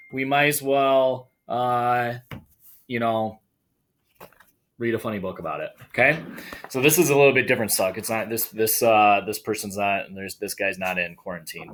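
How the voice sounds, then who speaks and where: A man who works as an elementary school educator, reading a picture book aloud to children in an expressive, playful way with casual asides. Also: medium pitch.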